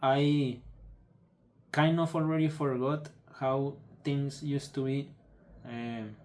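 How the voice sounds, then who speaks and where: A young man whose first language is Spanish, speaking in a halting, subdued way with many restarts as he searches for words. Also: mid-range voice, a little mellow. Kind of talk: personal video update.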